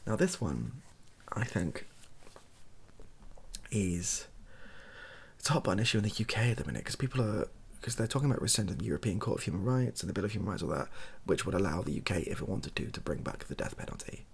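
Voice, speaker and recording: A young man speaking softly and breathily in a casual, rambling monologue, hesitant and self-deprecating as he gives his opinions. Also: lowish voice, sing-song, fast talker. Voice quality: soft, husky, breathy. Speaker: online video creator giving opinions.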